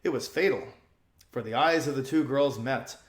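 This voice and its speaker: A man's voice: medium pitch, moderate pace. A man narrating a children's storybook as a storyteller, reading aloud and explaining things to young listeners. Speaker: storyteller, channel host.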